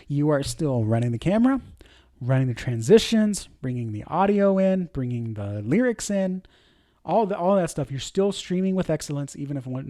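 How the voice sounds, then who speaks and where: A man's voice: a little high, darkish tone, no room sound, clean studio sound. Earnest, chatty man in his thirties. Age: 30s. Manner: conversational and earnest, with fillers and self-corrections. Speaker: church media director teaching a course. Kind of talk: informal course lesson.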